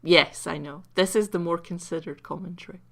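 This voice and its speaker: A woman giving casual, rambling commentary over a video game replay, croaky from having just woken up and mocking her own play between many ums. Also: fairly low pitch, steady tone, a little fast.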